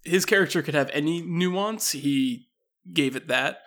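A man's voice: on the high side, measured pace. A man hosting a podcast, chatting casually about a movie with lots of fillers and laughs. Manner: relaxed, laughing, many fillers. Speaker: podcast host. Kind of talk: casual movie review chat.

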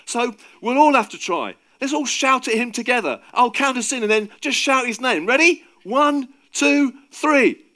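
Storybook role: cocky, forceful fisherman, played by a male reader, highly animated delivery. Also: normal speed, natural voice. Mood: desperate, urgent. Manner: bossy, teasing, forceful. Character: cocky, bossy fisherman disciple, panicking and bellowing in storms. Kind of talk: dramatised story reading.

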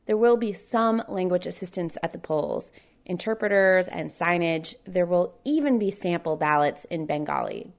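A woman reporter narrates a radio news feature in a clear, measured manner. Her voice sits a little low for a woman. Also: lively intonation. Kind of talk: news report with field interviews.

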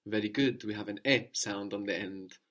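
A man's voice: lowish voice, normal speed, a bit bright. Guy teaching a language lesson, patient, keeps asking the student questions. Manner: patient, explanatory, prompting with questions.

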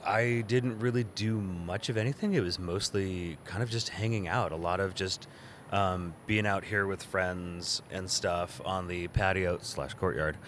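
A man co-hosting a podcast in casual chat, his voice a little worn and husky from the weekend, talking in a relaxed way with fillers. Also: low-pitched, a little slow, slight background noise. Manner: relaxed, chatty with fillers and restarts. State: voice a bit worn, deep. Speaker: podcast co-host. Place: outdoor courtyard at a convention.

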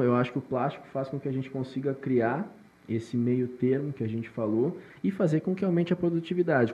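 A man's voice: medium pitch, mellow, muffled recording. A man hosting a casual talk, speaking reflectively and repeating words as he thinks. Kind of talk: casual interview chat.

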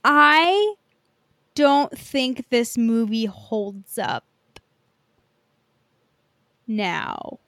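A woman's voice: on the high side, expressive, drawn-out words, long pauses, a bit bright, no room sound, clean studio sound. A woman co-hosting a casual podcast chat about film and TV, speaking hesitantly and often trailing off. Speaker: podcast co-host.

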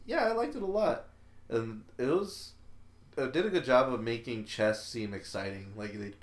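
A young man co-hosting a casual podcast, rambling, teasing and laughing often. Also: fairly low pitch. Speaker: podcast co-host. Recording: echoey room.

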